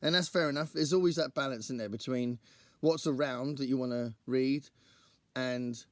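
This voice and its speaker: Croaky-voiced man chatting about books. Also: mid-range voice, normal speed, no echo, clean studio sound. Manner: chatty, rambling, thinks aloud. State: croaky voice after a singing gig. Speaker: book channel host. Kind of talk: casual to-camera book chat.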